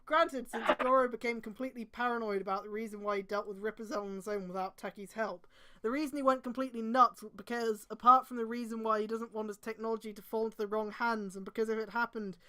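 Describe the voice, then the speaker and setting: A woman's voice: a little high, steady tone, quick pace, a bit bright. A woman reading fan fiction aloud on a stream, breaking in with exasperated, casual, sweary commentary.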